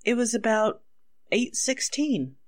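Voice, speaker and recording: Slow, melodramatic radio-drama suspect wife. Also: female voice, a little high, sing-song, full character voice. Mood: anxious, defensive. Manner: melodramatic, faltering. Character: anxious, evasive wife accused of murder. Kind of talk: 1940s radio acting.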